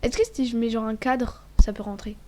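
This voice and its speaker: A woman's voice: fairly high pitch, steady tone, a little fast, warm tone, slight background noise. A woman with a cold, sniffling as she chats casually over her gameplay, rambling and often dropping to a whisper. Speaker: gaming vlogger.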